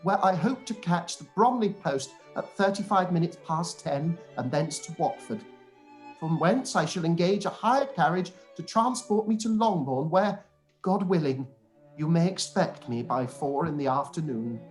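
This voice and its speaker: A man's voice: fairly high pitch, expressive, normal speed, soft background music, close to an everyday voice. Man acting a pompous, fawning clergyman. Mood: self-important. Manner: pompous, unctuous, elaborately formal. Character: pompous, obsequious young clergyman, self-satisfied and long-winded. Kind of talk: live-read radio play, letter read in character.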